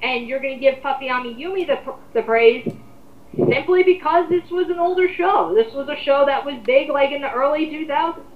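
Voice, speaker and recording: A woman with a high-pitched voice talks in a roomy, muffled home recording. She co-hosts a commentary, rambling informally through her opinions. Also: normal speed. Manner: rambling, informal, occasional swearing. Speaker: co-host of an online commentary. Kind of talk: casual opinionated chat.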